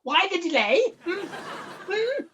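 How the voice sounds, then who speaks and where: A woman's voice: extremely high pitch, sing-song, slow, sharp tone, dull audio, a little hiss or hum, full character voice. A woman playing a cantankerous, hard-of-hearing old invalid mother in a pantomime, scolding and melodramatic. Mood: scornful dismissal. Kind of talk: pantomime acting with sung passages. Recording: amateur video-call recording.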